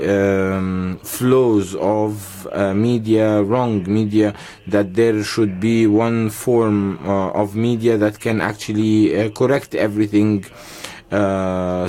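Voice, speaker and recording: Man, Egyptian accent, lowish voice, simultaneous interpreter, halting, frequent self-corrections. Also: a little mellow. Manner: halting, many pauses and self-corrections while keeping up. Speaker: conference interpreter. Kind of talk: simultaneous interpretation of a panel discussion. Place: conference hall interpreting booth.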